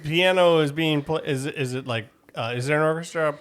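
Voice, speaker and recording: A man's voice: a little high, a little fast, fairly bright tone. A man hosting a podcast, chatting and asking questions in a jokey, informal way. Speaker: podcast host. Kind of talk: casual chat, asking questions.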